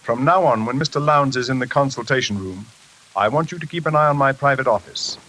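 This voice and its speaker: An actor as composed doctor on crackly radio. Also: male voice, mid-range voice, bright, forceful tone, lightly acted. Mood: businesslike, guarded. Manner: measured, formal, deliberate. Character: composed, probing male psychiatrist, quietly authoritative. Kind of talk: old-time radio drama acting. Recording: noisy, crackly vintage radio broadcast.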